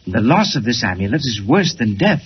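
A man's voice: medium pitch, big pitch swings, brisk, natural voice. An actor playing an older, learned father, agitated and earnest, in 1940s radio style. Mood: earnest, excited. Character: excitable older learned doctor, a worried father. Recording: dull, hissy 1940s radio recording.

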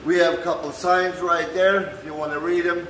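A man from Canada chatting casually to camera as he shows his workspace, in a halting, folksy way with non-standard phrasing. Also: fairly high pitch, level tone, a bit bright. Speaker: vlogger and handyman host. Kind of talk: casual walk-through chat to camera. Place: indoors in a man cave workshop. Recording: echoey room with light background noise.

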